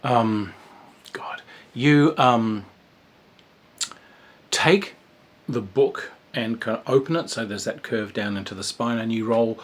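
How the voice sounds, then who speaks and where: A man giving a casual, rambling review of a tabletop game, with a wry, dark humour and plenty of fillers and restarts. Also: medium pitch, slow, long pauses.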